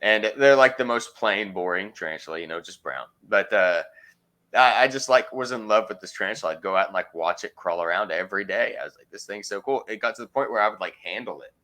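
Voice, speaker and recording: A man's voice: on the low side, fast talker, sharp tone. A man chatting casually as an interview guest, rambling and searching for words with frequent ums. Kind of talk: casual chat.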